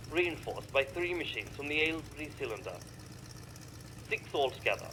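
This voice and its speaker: A man's voice: medium pitch, lightly acted. A man playing a disciplined bomber lieutenant in a radio play, giving clipped, urgent military reports. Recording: radio drama with loud aircraft engine noise. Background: droning engine.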